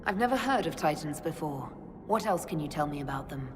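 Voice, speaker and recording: An actress playing the composed, inquisitive leader of a fantasy order in a video game, direct and authoritative. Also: female voice, sits low, lively intonation, a little fast, noisy background. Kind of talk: video game cutscene acting. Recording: long cavernous reverb, music underneath.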